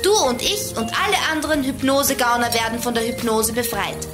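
A woman's voice: a little high, expressive, fairly quick, natural voice. A woman playing a clever, eager girl detective in a children's audio drama. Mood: confident. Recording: roomy echo, music behind.

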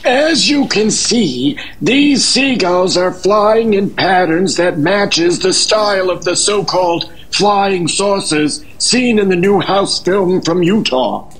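A man playing a stuffy, dismissive scientist who lectures in a pedantic, deliberate way in a radio drama. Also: high voice, fairly bright tone, breathy edge, heavily acted, poor audio. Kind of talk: 1950s-style radio drama acting.

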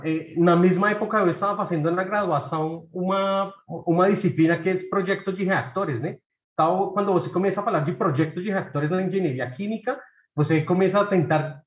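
Colombian guy presenting research, kinda high voice, lots of uhs and repeats, echoey room. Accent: Colombian Spanish. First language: Spanish. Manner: hesitant, many fillers and repeated words. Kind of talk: academic presentation. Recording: echoey room, dull lo-fi sound.

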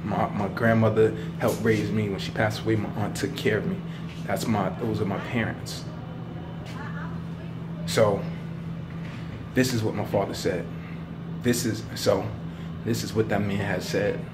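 A man's voice: fairly low pitch, little pitch movement, unhurried, pauses often, very noisy. A young man talking casually to camera as a vlogger, recorded on a handheld phone at arm's length while out and about. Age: young adult. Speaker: vlogger, actor and filmmaker. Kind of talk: casual vlog talk to camera. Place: on the move in city streets and indoor hallways.

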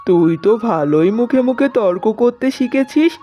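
A woman voicing a tyrannical, sharp-tongued older village mother-in-law in a cartoon. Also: on the high side, lively intonation, normal speed, dull audio, lightly acted. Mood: scolding. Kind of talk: cartoon story voice acting. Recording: music bed under the voice.

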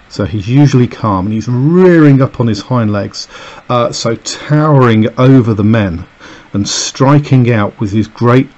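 A man's voice: mid-range voice, darkish tone, dull audio. A man teaching a recorded lesson, explaining an extract with many fillers and "okay"s as he annotates it. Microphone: voice-over over a camera filming a page on a desk.

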